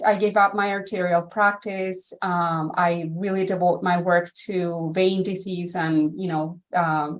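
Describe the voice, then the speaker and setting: Chatty woman guest from Colombia. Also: fairly low pitch. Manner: warm, chatty, frequent fillers. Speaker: guest, vascular surgeon. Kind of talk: casual interview.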